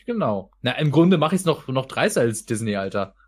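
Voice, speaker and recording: A man's voice: medium pitch, sing-song, brisk, slightly rough. A young man chatting casually on a podcast as a cartoon creator, with a crude, slangy, joking way of talking. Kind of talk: casual group banter.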